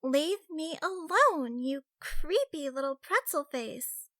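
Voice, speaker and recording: A woman playing a feisty young fox-girl spellcaster in a fantasy audiobook, calling out in drawn-out shouts. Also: high-pitched, expressive, exaggerated voice. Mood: defiant, shouting. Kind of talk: audiobook character acting.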